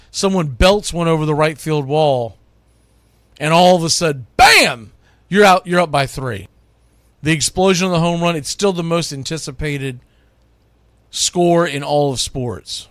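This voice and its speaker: A man hosting baseball radio, delivering an opinionated countdown monologue with emphatic, punched phrases and dramatic pauses. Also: a little high, a bit bright, dry recording. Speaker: baseball radio host and play-by-play announcer.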